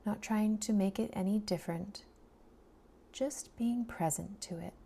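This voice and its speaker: Slow, soft woman guiding a meditation. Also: mid-range voice, gravelly, a little hiss or hum. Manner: soft, soothing, unhurried delivery with long pauses. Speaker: meditation guide. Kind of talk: guided body scan meditation.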